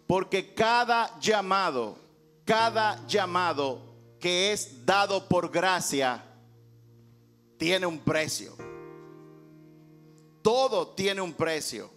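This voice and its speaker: A man with a high-pitched voice preaches as a pastor. His delivery is emphatic and rhetorical, slipping into dramatized scripture dialogue, with instrumental music faintly behind him. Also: expressive, very slow, pauses often, a bit bright. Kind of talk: sermon preached to a congregation.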